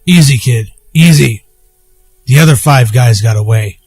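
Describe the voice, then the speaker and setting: A man acting a steady fighter who leads a small band in an audio drama. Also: medium pitch, measured pace, breathy edge, dry recording, faint music behind. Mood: firm, calming.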